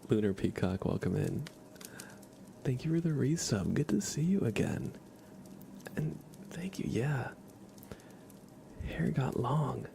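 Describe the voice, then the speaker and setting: A soft-spoken man hosting an ASMR livestream chat, hesitant and often slipping into whispers. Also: medium pitch, expressive, slow, vocal fry, long pauses, slight background noise. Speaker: livestream host.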